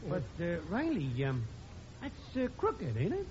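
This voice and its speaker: A man's voice: high-pitched, huge pitch swings, unhurried, a loud crowd, full character voice. A man playing a sour, henpecked working-class neighbour in 1950s radio comedy style. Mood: wry. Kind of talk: 1950s radio sitcom acting. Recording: muffled, very noisy old radio broadcast.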